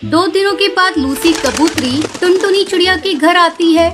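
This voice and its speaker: A woman's voice: very high voice, moderate pace, over music. A woman giving scripted narration for a children's story.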